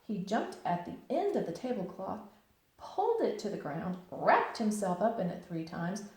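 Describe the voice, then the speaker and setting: A woman's voice: mid-range voice, big pitch swings, moderate pace, a little mellow. A woman teacher reading a children's storybook aloud expressively, with simple, explanatory phrasing. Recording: very echoey room.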